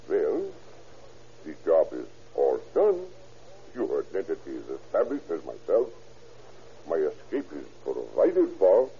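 A man's voice: very deep voice, wildly swooping pitch, takes it slowly, pauses often, warm tone, full character voice. An actor playing a scheming, gloating German doctor who murders his friend, with a German accent and a cackling laugh, in an old radio drama. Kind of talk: 1940s radio acting. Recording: muffled old radio recording with faint hiss.

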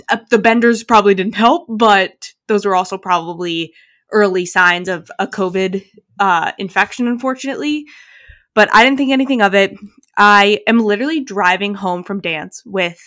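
Chatty young woman telling a story. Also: mid-range voice. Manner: animated storytelling, frequent "like" and "literally". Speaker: podcast co-host. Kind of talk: casual chat.

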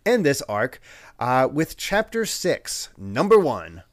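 A man's voice: mid-range voice, slow, no room sound, studio quality. A man hosting a casual podcast, a little congested from a head cold, laughing often as he chats. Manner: laughing, animated, acts out quotes. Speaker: podcast host.

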